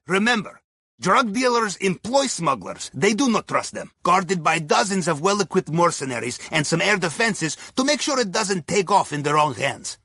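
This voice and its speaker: Wry, high-pitched Russian submarine navigator. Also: male voice, sharp tone, breathy edge, no room sound, exaggerated voice. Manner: dry, darkly humorous, articles dropped from speech. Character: wry Russian submarine navigator, a criminal's loyal heist advisor. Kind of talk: video game mission dialogue.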